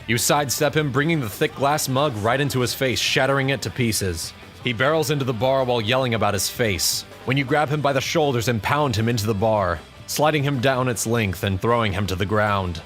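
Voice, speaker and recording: A man's voice: medium pitch, brisk, a bit bright, faint music behind. A man narrating a scripted audio drama as storyteller.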